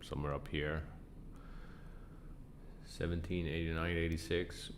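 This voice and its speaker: A man hosting a casual live trading stream, croaky and tired, answering chat questions in a relaxed way. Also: unhurried, slight background noise. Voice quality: croaky, deep from sinus trouble. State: tired, up a long time. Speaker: livestream trading host.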